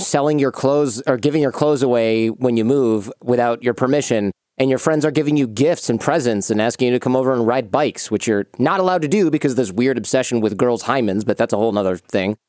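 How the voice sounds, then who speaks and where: A man's voice: medium pitch, fast, no room sound, studio quality. Casual male podcast host. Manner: casual, animated, loose asides. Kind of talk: conversational interview and ad reads.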